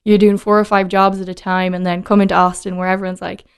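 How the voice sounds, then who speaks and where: A woman's voice: medium pitch, little pitch movement, fast, clear, resonant tone. A woman from Dublin with an Irish accent, chatting easily as a podcast guest and laughing often. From Dublin, Ireland. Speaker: guest, whiskey brand ambassador. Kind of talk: casual interview chat.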